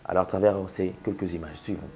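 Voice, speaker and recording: A man's voice: deep voice, fairly even intonation, quick pace, gravelly, a little mellow. A man with a Congolese accent hosting a TV talk show, speaking in an opinionated monologue with rhetorical questions and emphatic repetition. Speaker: TV host.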